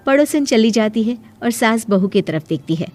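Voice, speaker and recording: A woman's voice: fairly high pitch, expressive, moderate pace, darkish tone, faint music behind. A woman giving scripted narration of a family story as its narrator.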